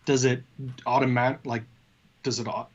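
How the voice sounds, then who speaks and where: A man co-hosting a casual tech discussion, talking in a halting way as he thinks aloud. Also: mid-range voice, flat delivery, lo-fi sound. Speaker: co-host of a tech show.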